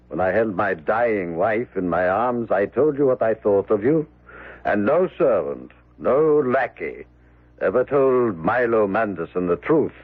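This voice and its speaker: An actor playing a formal, ominous manservant in an old radio drama, speaking in measured phrases. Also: male voice, medium pitch. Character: grave, formal manservant, prophetic and accusing. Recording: muffled, narrow-band old radio broadcast.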